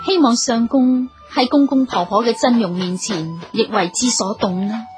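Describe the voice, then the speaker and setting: Young noblewoman wife acted by a woman: high voice, Cantonese, gentle and solicitous, period radio drama, music underneath. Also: moderate pace, lightly acted. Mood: composed, caring.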